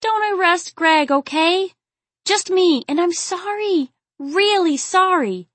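A woman's voice: very high-pitched, animated, slow pace, fairly bright tone, no echo, pitched above the performer's own voice, exaggerated voice. A woman voicing a tipsy, emotional young woman in an audiobook, with a dramatic, pleading manner. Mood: pleading, flustered. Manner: dramatic, exclamatory. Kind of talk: audiobook character acting.

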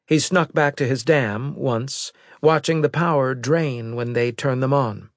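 Man voicing a brisk female military officer, pitched up. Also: no room sound, clean studio sound, close to an everyday voice. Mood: brisk, formal. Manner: brisk, precise, formal reporting. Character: brisk, formal female military intelligence officer in science fiction.

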